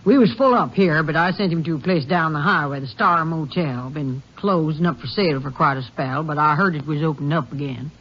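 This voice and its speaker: A man doing a high, folksy rural motel keeper. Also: fairly quick, hardly any pauses, noisy background, natural voice. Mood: helpful. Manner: folksy, rustic grammar. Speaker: motel clerk. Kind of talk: 1950s radio drama acting. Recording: dull lo-fi 1950s radio broadcast.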